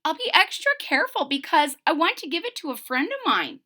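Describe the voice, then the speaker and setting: A woman's voice: sits high, sing-song, brisk, pushed and bright, voice pushed up, exaggerated voice. Woman reading as a hearty old grandpa. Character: cheerful adventurous old grandfather. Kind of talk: read-aloud storybook voice.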